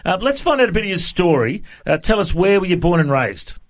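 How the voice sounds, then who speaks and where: A man hosting a radio interview, asking friendly, steady questions with the odd "uh". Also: sits high, a little fast. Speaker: radio host.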